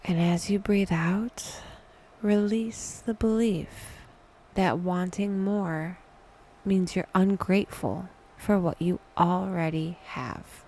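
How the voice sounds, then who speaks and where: Female meditation host, hushed and breathy, mellow tone, intimate soothing delivery with deliberate pauses. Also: fairly low pitch, steady tone. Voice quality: soft, breathy whisper. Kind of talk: whispered, soothing guided meditation.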